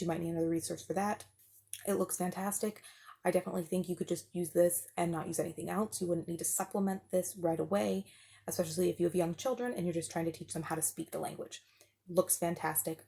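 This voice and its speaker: A woman's voice: lowish voice, gravelly, warm tone, breathy edge. A woman giving a casual, explanatory product review as a parent, talking informally and with enthusiasm.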